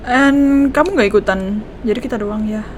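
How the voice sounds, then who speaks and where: A woman with an Indonesian accent giving casual, expressive reactions as a gaming streamer, switching between languages. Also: fairly high pitch, fairly quick. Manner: expressive, mixes two languages, repeats game lines. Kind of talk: casual game reaction commentary. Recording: clear background noise from game.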